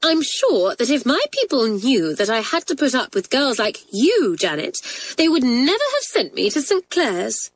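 Woman putting on a mincing, haughty schoolgirl, high-pitched. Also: very animated, moderate pace, no room sound. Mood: haughty, offended. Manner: mincing, affected. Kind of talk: children's storybook character voice.

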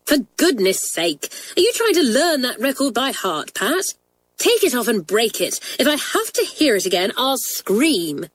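A woman's voice: a little high, animated, a little slow, hardly any pauses, voice pushed up. A woman reading a children's storybook, voicing an impatient, hot-tempered schoolgirl who scolds bluntly and emphatically. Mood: exasperated.

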